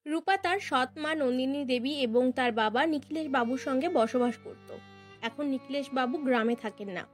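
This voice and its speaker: High-pitched woman storyteller. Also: moderate pace. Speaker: narrator. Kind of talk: scripted cartoon story narration. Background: music.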